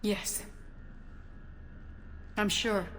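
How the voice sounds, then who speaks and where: A woman's voice: extremely slow, fairly bright tone, a little hiss or hum. A woman voicing a driven, stubbornly brave civilian in a video game, speaking earnestly and insistently. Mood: tense.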